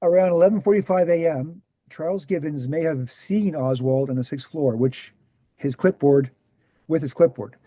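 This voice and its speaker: Casting: man to play earnest male author-lecturer, very mellow and soft-edged, slightly high pitch, measured explanations, dry close sound. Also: no echo, lightly acted. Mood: measured, factual. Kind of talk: screenplay table read, explanatory lecture.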